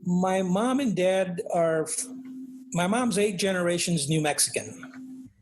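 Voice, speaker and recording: An older man speaks as an interview guest, his voice a little higher than usual for a man. He talks reflectively and unhurriedly, with fillers and frequent "okay?" check-ins. A faint noise sits under the recording. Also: unhurried. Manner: reflective, many fillers and tag questions. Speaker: guest, author. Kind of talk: conversational interview.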